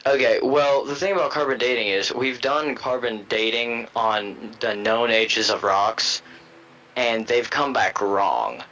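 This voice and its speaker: American podcast co-host, bright voice, argues his points, dull lo-fi mic. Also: medium pitch, a little slow. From United States. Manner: argues a point at length, stumbles on restarts. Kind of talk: casual podcast chat. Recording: dull lo-fi sound with faint noise.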